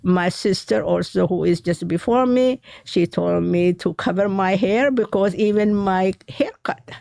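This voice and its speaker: Halting gravelly woman author recounting. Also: medium pitch, a little mellow, dry recording, clean studio sound. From Ethiopia. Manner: halting, searching for words. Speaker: author guest. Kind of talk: interview storytelling.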